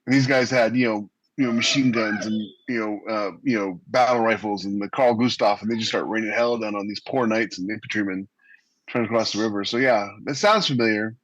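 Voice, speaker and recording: A man's voice: lowish voice, fairly even intonation, brisk, fairly bright tone, no echo. A man co-hosting a podcast, telling things in casual conversation on a slightly dull, close recording. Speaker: podcast co-host. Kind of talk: casual storytelling chat.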